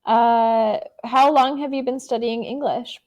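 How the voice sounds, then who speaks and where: A woman's voice: fairly high pitch, steady tone, measured pace, a little mellow. A woman from the United States tutoring English in an online conversation over a video call, speaking encouragingly with frequent fillers.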